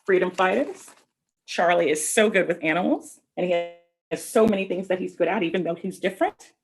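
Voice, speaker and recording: A woman reading a picture book aloud to children, explaining things simply with asides and questions in a slightly husky voice. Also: fairly low pitch, brisk, rough recording. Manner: expressive, explaining simply and addressing young listeners with questions. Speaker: read-aloud host for children.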